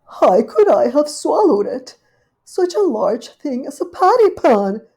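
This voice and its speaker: Woman reading as a nervous, proper lady dog, high and worried, storybook figure. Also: very animated, takes it slowly, mellow, full character voice. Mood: fretful. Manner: worried, genteel, talking to herself. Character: fretful proper female dog, anxious and polite.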